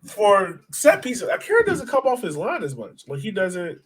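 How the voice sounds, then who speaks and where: A man's voice: sits high, wildly swooping pitch, normal speed, fairly bright tone, husky. An American man hosting a soccer podcast, talking casually and steering the discussion in an inquisitive, conversational way. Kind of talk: casual conversational sports talk.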